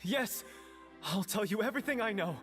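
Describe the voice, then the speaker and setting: A man's voice: sits high, expressive, normal speed, in a large hall, over music. A man playing a cowardly, cornered guard in an anime game, nervous and pleading as he talks. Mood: desperate. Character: cowardly, cornered male guard confessing his crimes.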